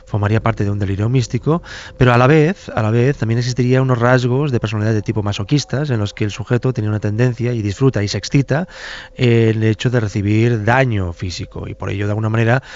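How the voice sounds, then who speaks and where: Gravelly male expert, halting delivery. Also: lowish voice, darkish tone. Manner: halting, searching for words, repeating. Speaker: expert commentator, doctor. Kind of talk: spontaneous expert explanation. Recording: dull, muffled lo-fi sound.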